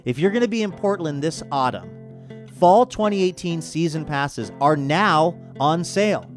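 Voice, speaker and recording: A man's voice: fairly high pitch, expressive, normal speed, faint music behind. A man hosting a radio show, talking in a conversational, enthusiastic way with wry asides. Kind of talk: upbeat show promo and interview talk.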